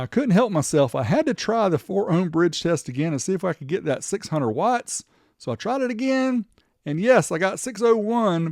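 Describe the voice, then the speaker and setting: A man's voice: a little high, darkish tone, dry recording, clean studio sound. A man giving casual, upbeat product test commentary as a show host, chatty and informal. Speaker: host of a car audio testing show.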